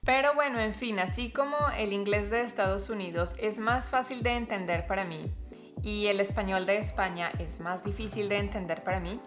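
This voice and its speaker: A Colombian woman with a Colombian accent who runs a Spanish-teaching vlog, explaining clearly and step by step to the camera. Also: mid-range voice, moderate pace, faint music behind.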